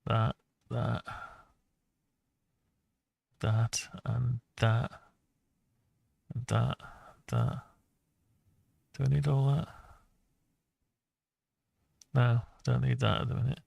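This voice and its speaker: A man thinking aloud and muttering to himself through an unscripted software walkthrough. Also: medium pitch, flat delivery, extremely slow, gravelly, long pauses, no echo. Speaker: hobbyist tutorial maker.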